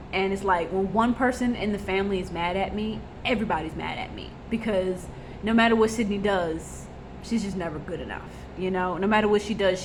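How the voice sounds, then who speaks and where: A woman's voice: mid-range voice, background noise. Blunt young woman venting in a vlog. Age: 20s. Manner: blunt and raw, vents with frequent fillers and repeats. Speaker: vlogger. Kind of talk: personal confessional vlog.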